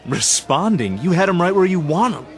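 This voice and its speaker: An actor playing a devoted, admiring right-hand man in a radio drama, earnest and flattering. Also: sits high, moderate pace. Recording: music behind.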